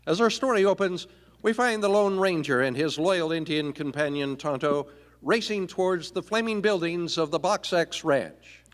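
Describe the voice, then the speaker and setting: A man's voice: fairly high pitch, moderate pace. A man narrating a Western radio play in a dramatic old-time announcer style, grandiose, stretching out key phrases. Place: performing arts center stage, live. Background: orchestral music accompaniment.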